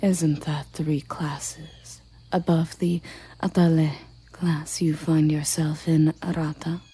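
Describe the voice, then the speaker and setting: A woman voicing a regal, tender and possessive elven queen in a breathy ASMR roleplay whisper, with soft, commanding endearments. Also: low-pitched, little pitch movement, slow pace, exaggerated voice. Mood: tender, composed.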